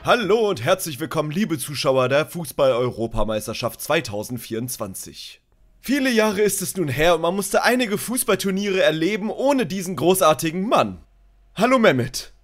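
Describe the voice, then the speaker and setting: Guy as a chummy football tv host parody, kinda high voice, lively swings, bit of music. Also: moderate pace. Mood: chummy, presenting. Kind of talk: parody of sports presenting.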